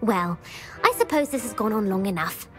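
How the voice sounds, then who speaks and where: A woman's voice: mid-range voice, expressive, normal speed, faint music behind, lightly acted, poor audio. Woman playing a bookish, eager young student. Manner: eager and lecturing, bursts of praise. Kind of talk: video game voice acting.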